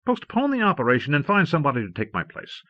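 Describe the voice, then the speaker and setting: Muffled, dry audiobook tape of an anxious, worn-out man performed by a male narrator. The voice is pushed higher than the reader's usual, agitated and pleading. He sounds full of self-doubt and stubbornly insistent. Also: huge pitch swings, fast talker, pushed and bright, no echo, heavily acted. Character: haggard, anxious, self-doubting man refusing his brother. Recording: muffled old cassette audiobook transfer.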